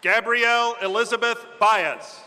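A man with a very high, sharp, bright voice reads names slowly at a podium. A long arena echo trails each name, with faint crowd ambience behind. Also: fairly even intonation, slight background noise. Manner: slow, measured announcing of names. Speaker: name reader at graduation. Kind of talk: reading out graduate names. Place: large indoor arena. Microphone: podium microphone.

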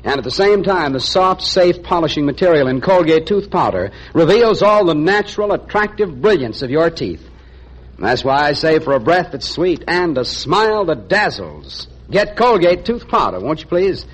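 A man's voice: a little high, big pitch swings, moderate pace, slightly rough, low-quality recording. An American man announcing on 1940s radio, reading a scripted sponsor's commercial in the sales style of the era. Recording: 1940s radio broadcast recording.

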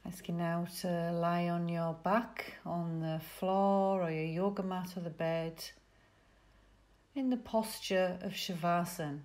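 A woman leads a guided yoga nidra meditation in a fairly low voice. Her delivery is very slow and soothing, with short instructions set apart by pauses. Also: fairly even intonation, a little mellow.

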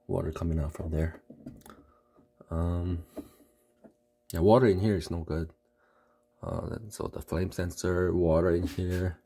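A man casually explaining a home heater repair, halting with fillers and restarts, recorded on a phone in a basement utility room. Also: deep voice, vocal fry, warm tone, breathy edge. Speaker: homeowner showing a furnace repair. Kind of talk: casual how-to video. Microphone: phone camera held close.

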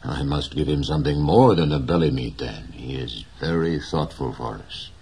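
A man voicing a poor but proud, gentle old fisherman who speaks plainly and slowly. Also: a bass voice, breathy edge, exaggerated voice. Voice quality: slow, plain, dignified speech.

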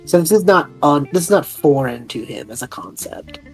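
A woman's voice: deep voice, lively intonation, normal speed, soft background music, low-quality recording. A woman chatting casually as a tabletop game player, thinking aloud as she describes what her character does. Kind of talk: casual roleplay chat, describing a character's actions.

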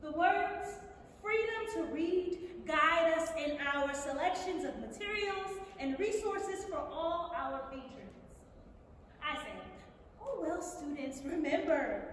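Very high, emphatic librarian played by a woman. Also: fairly bright tone, heavily acted. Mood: earnest. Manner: emphatic, deliberate. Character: earnest, resolute school librarian defending freedom to read. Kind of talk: theatrical monologue, staged reading. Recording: echoey theatre hall, distant, faint noise.

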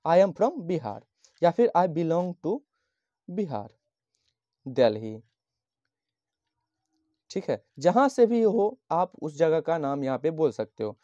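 Slow, patient man from Bihar teaching. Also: on the high side, very animated, mellow, dry recording. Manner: slow, patient, repeats each phrase and dictates English lines. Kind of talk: handwritten English lesson explained in Hindi. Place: at a desk, writing on a notebook page. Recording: dull, lo-fi dry sound.

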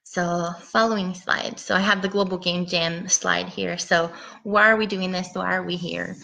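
Woman, thirties, from Puerto Rico, chatty presenter, headset mic, flat delivery, bright tone. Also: fairly low pitch, normal speed, creaky, poor audio. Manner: chatty, rambling with ums. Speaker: guest speaker, game designer. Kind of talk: casual presentation talk. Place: home room with bookshelf. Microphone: headset mic on video call.

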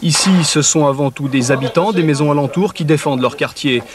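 A man giving scripted documentary narration in a measured, descriptive reporting style. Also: on the high side, distant mic, crowd noise.

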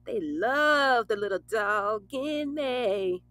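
An actress playing a brash, bawdy but loyal young best friend, blunt and teasing, in an audio drama. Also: female voice, on the high side, expressive, drawn-out words, sharp tone, no echo, exaggerated voice. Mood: joking. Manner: sassy, blunt, foul-mouthed, teasing. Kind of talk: casual conversational audio drama.

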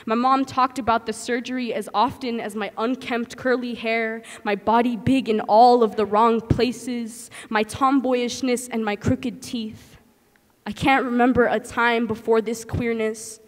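A young transgender man performing spoken word poetry at an open mic, with a rhythmic, impassioned delivery that swings between hushed and loud. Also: very high-pitched, in a large hall. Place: stage in a community hall, indoors. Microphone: stand mic on stage.

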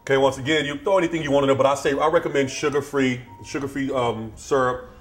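Casting: middle-aged man, laid-back kitchen host, chatty and unhurried, faint music in the background. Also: medium pitch. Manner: relaxed, chatty, talks while cooking. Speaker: cooking show host. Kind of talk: casual cooking demonstration. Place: home kitchen, indoors.

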